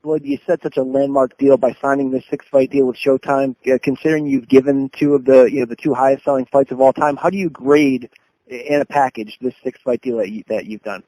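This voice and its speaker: A man's voice: mid-range voice, steady tone, fairly quick, dark tone, no room sound, low-quality recording. A man with a mid-range voice asks questions as a sports reporter. His questions are long and fluent, and the sound is close and dry. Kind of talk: asking prepared questions on a press call. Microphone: conference call line.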